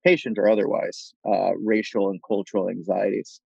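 A man from Puerto Rico speaking as a thoughtful podcast guest, laying out his ideas in articulate, measured phrases. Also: lowish voice, little pitch movement, gravelly, darkish tone, husky, dry recording, dull audio. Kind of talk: reflective interview conversation.

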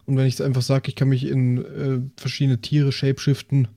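A man's voice: medium pitch, monotone, a little fast, gravelly, mellow, no echo, clean studio sound. A man chatting casually as a player in a tabletop role-playing group. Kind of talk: casual chat.

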